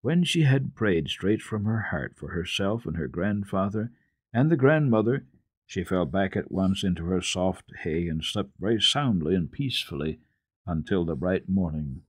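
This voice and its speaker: A man narrating a classic children's book as a radio storyteller, in an expressive, dramatic and deliberate manner. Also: low voice, slightly rough, no room sound, studio quality. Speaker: storyteller, radio program host.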